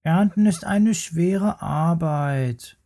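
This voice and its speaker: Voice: mid-range voice, drawn-out words, warm tone, pitched above the performer's own voice. A storyteller voicing a small, greedy bear cub who grumbles about work in a children's story. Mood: whiny. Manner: whiny, sulky. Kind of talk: storybook character voice.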